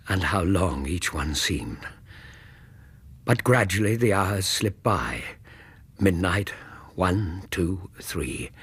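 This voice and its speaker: An actor playing an earnest Victorian British gentleman, a loyal companion narrating a radio drama in a measured, suspenseful, literary way with a husky voice. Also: low voice, exaggerated voice.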